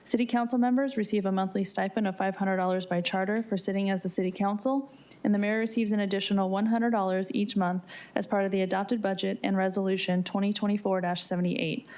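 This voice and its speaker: A woman's voice: mid-range voice, steady tone, quick pace, darkish tone. A woman working as a city clerk, reading formal scripted announcements in an official, procedural way. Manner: procedural, official read-aloud delivery.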